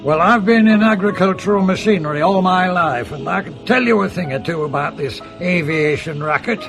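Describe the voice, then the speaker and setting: A man's voice: high-pitched, fairly quick, creaky, over music. An actor playing a cantankerous, demanding male airline passenger who grumbles and coughs in a radio drama. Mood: grumbling. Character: cantankerous coughing male passenger, self-styled seasoned traveller.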